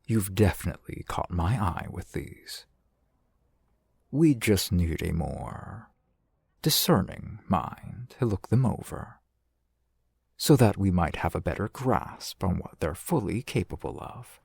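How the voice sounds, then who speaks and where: A man playing a courteous, shrewd fantasy shopkeeper in an ASMR roleplay, speaking in formal, measured, polite phrases. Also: sits low, animated, gravelly, a little mellow, husky, no echo, heavily acted. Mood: thoughtful. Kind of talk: ASMR roleplay, scripted character acting.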